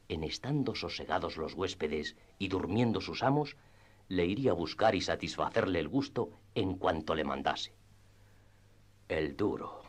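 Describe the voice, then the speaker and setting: A man narrating classic literature in radio theatre style, with expressive storytelling and deliberate phrasing. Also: deep voice. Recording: music under the voice. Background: background music.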